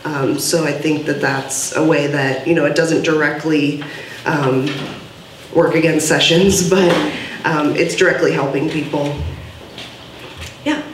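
A woman's voice: low voice, fairly even intonation, measured pace. Woman moderator at a podium, earnest and hesitant, heard from a distance with slight hiss. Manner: earnest, filler-heavy. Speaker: panel moderator. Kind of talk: panel discussion, taking audience questions. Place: gallery event room, indoors. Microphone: podium mic from a far camera. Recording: roomy, distant, faint hiss.

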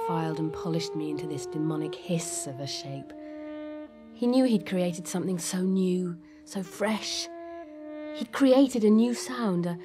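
A woman voicing a living saxophone in a radio drama, speaking in an intense, lyrical, hushed monologue. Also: on the low side, lightly acted. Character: a saxophone given voice, intense, poetic, reverent of its maker.

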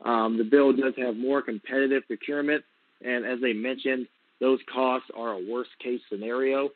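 A man's voice: mid-range voice, fairly even intonation, moderate pace, no room sound. A man giving an unscripted, conversational explanation as an advocate on a conference call over a telephone. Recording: tinny, narrowband phone line.

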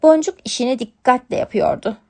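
Woman narrating a kids storybook, scripted storyteller read, higher pitched voice. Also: sing-song, a little fast, gravelly, darkish tone.

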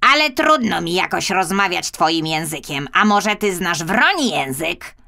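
A woman's voice: lowish voice, very animated, measured pace, vocal fry, bright, forceful tone, heavily acted. A woman voicing a kindly, hesitant female crow in a children's audiobook, cawing and repeating her words emphatically. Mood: hesitant, friendly. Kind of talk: children's audiobook character acting.

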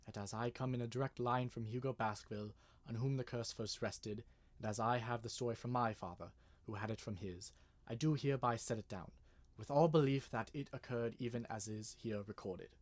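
Man acting earnest, formal radio-mystery gentleman. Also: mid-range voice, fairly even intonation, a little fast, close to an everyday voice. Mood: solemn reading. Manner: hesitant, formal. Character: nervous, earnest gentleman client reading an old legend.

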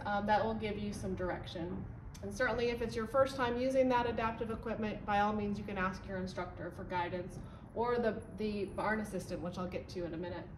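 A woman's voice: a little high, slight background noise. A woman in her thirties explaining a board to volunteers in a barn office, pointing as she talks, with frequent ums. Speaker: volunteer trainer. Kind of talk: instructional walkthrough. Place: indoor barn office with a wood-paneled wall. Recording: roomy, slightly distant sound with faint ambience.

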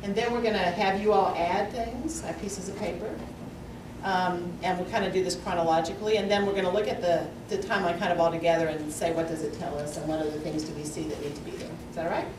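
A woman's voice: fairly low pitch, steady tone, fast. An older woman leading a workshop in a large lecture hall, explaining the plan step by step in a friendly way. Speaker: workshop facilitator. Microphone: far camera. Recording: echoey lecture hall from far off, noisy.

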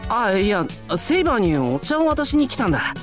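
Animated, awkward teenage boy. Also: high-pitched, a little fast. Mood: evasive. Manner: awkward, evasive. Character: earnest, flustered teenage boy covering up awkwardly. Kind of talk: Japanese anime-style game voice acting. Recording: loud background music under voice.

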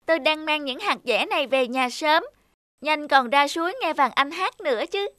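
A woman voicing a busy, friendly little squirrel in a children's cartoon, eager and hurried. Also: high voice, slight background noise. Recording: loud children's music behind.

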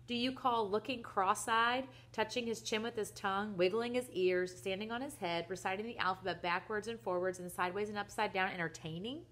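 Woman as exasperated storybook father, grumpy, indignant, emphatic, drawn-out stressed words. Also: medium pitch, fairly bright tone. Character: grumpy, exasperated father scolding about his son.

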